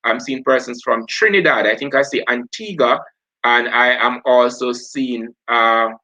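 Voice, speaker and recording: A man's voice: mid-range voice, unhurried, sharp tone, rough recording. A man from Jamaica with a Jamaican accent, hosting a live online revision session and talking through past papers as he goes. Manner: talks while working through papers, self-correcting.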